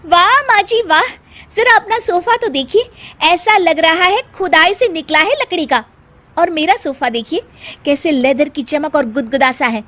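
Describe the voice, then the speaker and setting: A feisty young daughter-in-law acted by a woman in a moral story. Her voice is very high and sharp, with a whiny, sarcastic edge as she complains. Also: unhurried, full character voice.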